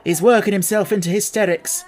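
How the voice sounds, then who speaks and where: A woman voicing a flustered adult nurse in an audiobook, urgent, hurried and coaxing. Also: mid-range voice, steady tone, a little mellow, lightly acted. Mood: anxious, hurried.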